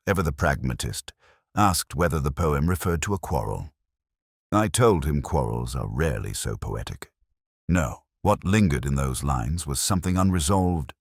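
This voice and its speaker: Male narrator for literary detective story. Very deep, expressive range, measured and reflective delivery. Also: dry recording, clean studio sound. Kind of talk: scripted literary first-person narration.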